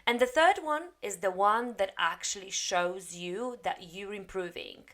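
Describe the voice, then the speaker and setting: A woman's voice: medium pitch, unhurried, fairly bright tone. A woman, an English coach giving a direct, encouraging spoken lesson. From Poland. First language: Polish. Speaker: English coach and TEFL teacher.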